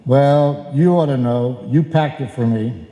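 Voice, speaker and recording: A man's voice: mid-range voice, a little slow, dark tone, natural voice. A man playing a weary, grumbling husband in a live old-time radio comedy, complaining in exasperation. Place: indoor stage before a live audience. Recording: echoey live stage in a hall.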